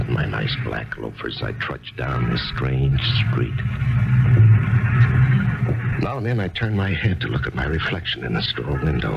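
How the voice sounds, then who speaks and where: An actor playing a despondent, withdrawn lonely man who talks in a hesitant, halting way, in a 1970s radio drama. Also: extremely low pitch, gravelly, full character voice. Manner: hesitant, halting, self-doubting. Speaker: lead actor. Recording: dull 1970s radio broadcast.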